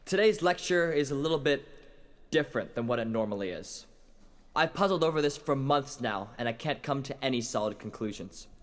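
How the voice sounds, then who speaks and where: An earnest academic played by a man lectures on a puzzle in an audio drama. The voice sits at a middling pitch, and the wording is formal and deliberate. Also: expressive, lightly acted. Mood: earnest, intrigued. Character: puzzled, earnest lecturer presenting a maritime mystery. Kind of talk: scripted audio drama monologue.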